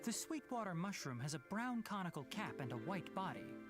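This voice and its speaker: An actor playing a calm, studious young male researcher in a video game trailer, musing aloud in a measured, scholarly way. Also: high voice. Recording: loud music over the voice.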